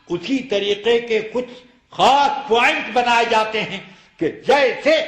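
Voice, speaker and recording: Man, slow deliberate sermon delivery, high pitch, rhetorical questions, emphatic argument. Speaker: Islamic scholar and preacher. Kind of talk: religious sermon explaining a ruling. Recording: echoey public-address loudspeaker sound.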